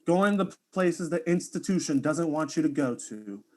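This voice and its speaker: Actor playing a high-voiced, melodramatic vain streamer guy. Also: lively intonation, normal speed, darkish tone, natural voice. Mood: self-important. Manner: melodramatic, flowery, swooping delivery. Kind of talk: comic audio drama acting.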